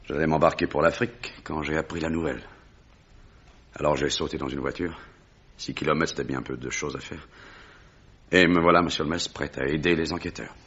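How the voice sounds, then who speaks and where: An actor playing a blunt, proud big-game hunter and explorer in a French radio drama. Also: male voice, a bass voice, a bit bright, slightly rough, heavily acted. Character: gruff, imposing bearded lion hunter and African explorer. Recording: dull, muffled vintage radio recording.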